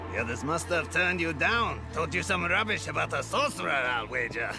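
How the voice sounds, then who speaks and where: An actor playing an eccentric, muddled, friendly old adventurer in a video game, with a creaky voice and a rambling, theatrical manner. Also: male voice, a little high, lively intonation, normal speed. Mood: eager. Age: older. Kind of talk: dramatic video game dialogue. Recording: loud cinematic game music behind voice.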